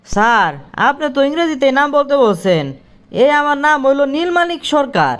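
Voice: sits high, sing-song, moderate pace, fairly bright tone. A performer voicing a cheeky, literal-minded village job applicant in a comedy cartoon, with a Bangladeshi accent and eager, colloquial speech. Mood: earnest, cheeky. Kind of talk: comic cartoon dialogue.

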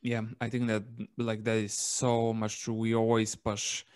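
A young man hosting a podcast, speaking close to a microphone in a casual, even way with fillers like "like" and "right". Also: lowish voice, measured pace, smooth. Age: young adult. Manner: even, flat delivery with filler words like "like" and "right". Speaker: podcast host. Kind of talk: conversational podcast interview.

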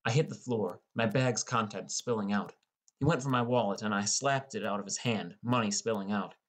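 Low-voiced man narrating an audiobook. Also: moderate pace. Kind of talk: scripted first-person story narration.

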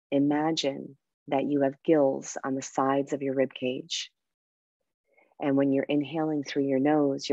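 A woman guiding a meditation and breathing exercise in a soft, unhurried, soothing way with pauses. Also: rumbling low, dry recording, muffled recording. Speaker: meditation guide and coach. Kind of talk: guided meditation with breathing instruction.

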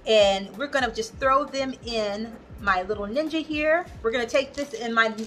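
A woman's voice: a little high, moderate pace, a bit bright, faint music behind. A woman in her thirties hosting a casual home cooking video, chatty and upbeat, talking straight to viewers in her kitchen. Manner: upbeat, chatty, talks to viewers as "you guys". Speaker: cooking vlogger, host. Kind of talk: casual cooking demo chat.

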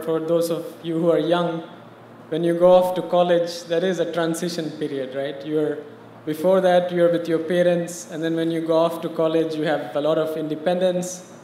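A man from India answers questions from a podium in a conversational, explanatory way, speaking a little above the usual male pitch. The sound carries through a large hall with a lingering reverb and faint background hum. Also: a little mellow. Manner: conversational, explanatory, uses "you know". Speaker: guest interviewee. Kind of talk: personal story in a live interview. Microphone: podium mic.

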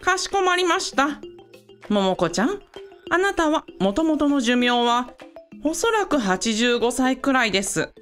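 Dutiful female assistant, radio drama, woman acting, slightly high, expressive, professional, light music underneath. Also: unhurried, heavily acted. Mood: explanatory, professional. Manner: polite, professional, explanatory.

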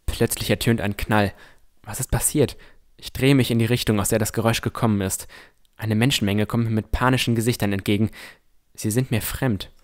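A man's voice: lowish voice, steady tone, fairly quick. A man reading scripted first-person narration as the narrator of a fantasy audio drama.

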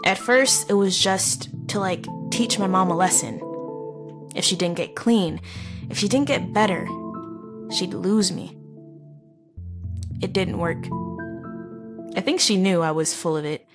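A woman playing a wounded, wry, guarded teenage runaway in a halting, confessional audio drama monologue. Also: on the low side, expressive, music in the background. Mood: bitter, reflective. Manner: halting, conversational, with pauses and wry asides. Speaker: narrator of audio horror story. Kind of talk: first-person dramatic monologue, confessional storytelling.

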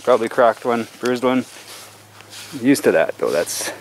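A man's voice: medium pitch, expressive, measured pace, a little hiss or hum. A middle-aged man chatting casually in his backyard while doing chores, rambling in an easygoing way. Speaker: vlogger. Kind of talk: casual chat. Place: backyard outdoors.

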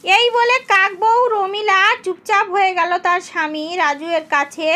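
A woman's voice: extremely high pitch, normal speed, pushed and bright. A woman reading scripted narration for a cartoon story.

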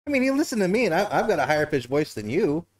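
A man's voice: on the high side, big pitch swings, rapid-fire. Laughing, profane man hosting a stream. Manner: laughs often, loose and profane banter. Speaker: talk show host. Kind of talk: casual livestream chat. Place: living room on a couch.

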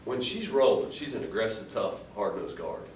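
American male coach, low register, measured and halting post-game remarks, echoey room with faint noise. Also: lively intonation, a little mellow. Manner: halting, measured, with repeated restarts. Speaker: head basketball coach. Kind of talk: post-game press conference answers. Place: indoor press room at a table. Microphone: distant camera. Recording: roomy, distant mic with faint rumbling noise.

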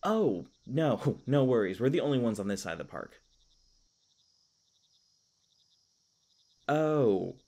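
A man's voice: mid-range voice, lively intonation, moderate pace, darkish tone. A man playing a kindly, flustered, youthful elf park ranger in a fantasy audio roleplay, stammering and rambling apologetically. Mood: flustered, amused. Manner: rambling, stammering, apologetic, self-deprecating humor. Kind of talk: fantasy audio roleplay, casual conversational acting.

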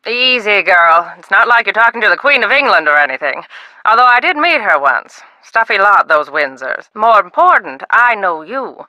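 A woman's voice: medium pitch, very animated, normal speed, pushed and bright, no echo, low-quality recording. An actress playing a theatrical, secretive older woman in a video game, grand and teasing.

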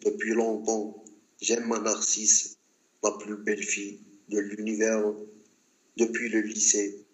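Man slowly reciting love poetry. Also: fairly low pitch, reverberant, poor audio. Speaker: poet.

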